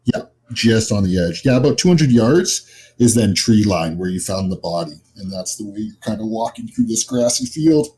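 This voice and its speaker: A man acting as game master, casually describing scenes in a halting, conversational way. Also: on the low side, expressive, darkish tone, a little hiss or hum, low-quality recording.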